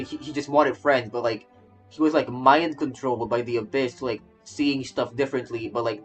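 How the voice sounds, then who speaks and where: A man casually commenting on a game he is streaming, stammering and repeating his phrases as he rambles. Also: mid-range voice. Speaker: streamer reacting to a game story. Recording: game music behind the voice. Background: game music.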